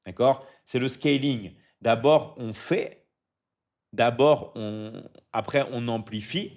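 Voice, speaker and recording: A man runs a business coaching live stream in a casual, hyped-up way. He repeats his points again and again, leans on fillers, and keeps turning to his viewers for agreement. His voice sits at an ordinary middle pitch for a man. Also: slow, darkish tone. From France. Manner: repetitive, hyping, filler-heavy, keeps checking in with viewers.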